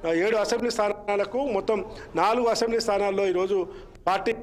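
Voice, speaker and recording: A man giving a live political news report in a halting, repetitive way, stumbling over his words. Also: sits high, fairly even intonation. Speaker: field reporter.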